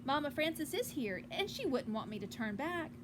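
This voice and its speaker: A woman voicing an earnest, polite young girl in a storybook, easily hurt but resolute, speaking a little haltingly. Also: high-pitched, big pitch swings, background noise. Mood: reassured.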